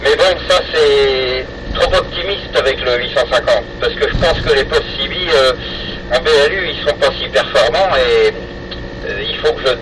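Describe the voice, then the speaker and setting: A middle-aged man with an accent from France, chatting casually and haltingly over a two-way radio. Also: mid-range voice. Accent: French, from France. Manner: hesitant, halting. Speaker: amateur radio hobbyist. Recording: distant, very noisy FM radio contact.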